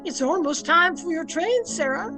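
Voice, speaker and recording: A high, creaky old woman's voice put on by an actress, tender and consoling in an old-time radio play, with music behind. She has a soothing, motherly way of speaking. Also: expressive, normal speed, sharp tone, exaggerated voice. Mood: tender, sorrowful. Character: tender old family confidante, growing old, consoling. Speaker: actor in an amateur radio play. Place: at home, recorded over video call.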